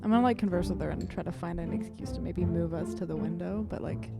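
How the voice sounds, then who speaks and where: A woman's voice: fairly low pitch, fast talker, vocal fry, over loud music. A woman playing a tabletop roleplaying game, talking informally and thinking aloud as she improvises her character's moves and lines.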